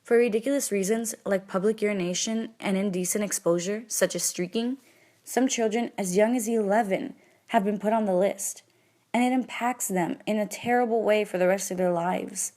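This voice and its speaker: A woman's voice: mid-range voice, moderate pace, a little mellow. Woman presenting in scripted narration.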